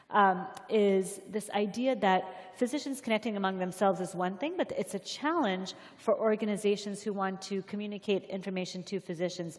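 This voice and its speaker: A woman hosts a conference session from the stage. She has a mid-pitched voice and speaks off the cuff, conversational and halting. The hall leaves a long reverberant tail on her words. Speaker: session host introducing a speaker. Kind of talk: spontaneous stage introduction.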